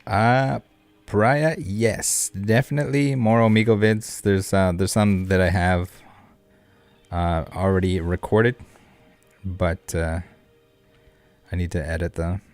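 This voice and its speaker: A male streamer with a low voice talks casually through a card game. He rambles and jokes with his viewers in a laid-back way. Faint music plays behind him. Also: fairly even intonation, slow. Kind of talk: casual live game commentary and chat with viewers. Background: background music.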